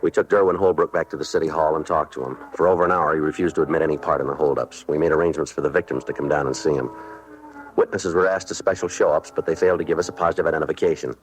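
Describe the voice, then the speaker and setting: An American man playing a terse, businesslike police detective who narrates a 1950s radio crime drama in a clipped, deadpan way. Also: extremely low pitch, racing, poor audio. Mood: matter-of-fact. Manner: clipped, deadpan, matter-of-fact. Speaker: lead actor and narrator. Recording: vintage radio recording with music.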